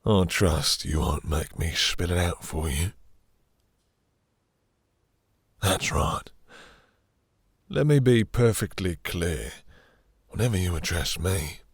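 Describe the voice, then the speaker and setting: A seductive, menacing vampire master, acted by a man for intimate roleplay audio. His low voice is husky and crackles with fry. He speaks with unhurried, condescending command, purring endearments as if to a possession. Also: expressive, no echo, clean studio sound, exaggerated voice. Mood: mocking, commanding.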